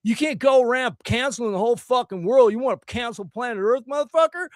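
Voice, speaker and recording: A man's voice: very high-pitched, fast talker, no echo, studio quality. A man, ranting crudely and preachily to camera about politics while plugging his song, his voice a little scratchy from singing. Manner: loud, crude, preachy, swearing constantly. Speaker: musician promoting his song. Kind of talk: profane political rant to camera.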